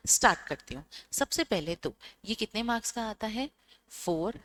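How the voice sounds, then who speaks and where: A woman's voice: mid-range voice, fairly bright tone. A young woman from India teaching a casual exam revision lesson in mixed Hindi and English, with an Indian English accent and a chatty, coaxing way of checking in with students.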